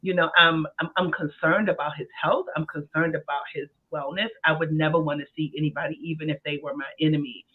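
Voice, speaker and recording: A man's voice: on the high side, steady tone, fairly quick, few pauses. A man in his forties, a podcast co-host, talking earnestly and thinking aloud in a casual discussion. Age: 40s. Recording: tinny phone-like sound.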